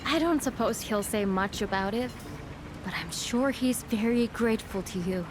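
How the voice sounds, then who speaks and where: An actress playing a gentle, thoughtful townswoman in a video game, speaking reflectively and with care. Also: a little high, normal speed, background noise, close to an everyday voice. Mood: sympathetic. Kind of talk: video game cutscene voice acting.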